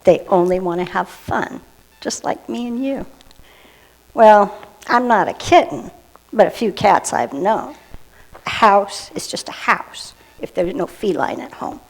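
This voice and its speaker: A woman telling a story and rhyming poem to children, expressive, with hushed moments. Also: medium pitch, unhurried, low-quality recording.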